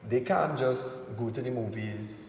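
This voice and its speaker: Trinidadian man in an echoey room. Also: mid-range voice. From Trinidad and Tobago. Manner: relaxed, laughs easily, slows down to speak carefully. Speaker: entertainer guest. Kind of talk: casual interview chat. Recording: echoey room with long reverb, faint noise.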